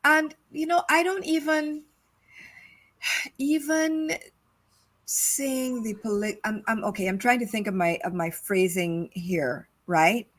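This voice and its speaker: A woman's voice: fairly high pitch, slow, a bit bright. A middle-aged woman from Barbados with a Barbadian accent, co-hosting a casual discussion and repeating her points for stress. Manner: emphatic, repeats phrases for stress. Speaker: podcast co-host.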